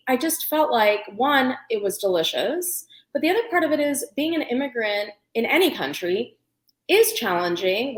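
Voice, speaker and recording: A middle-aged woman with a light Indian accent and a somewhat high voice, telling stories with lively asides. Her voice rings in a roomy, echoey space. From India, raised in Pennsylvania. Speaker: guest, food blogger and cookbook author. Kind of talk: conversational interview storytelling. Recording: roomy echo.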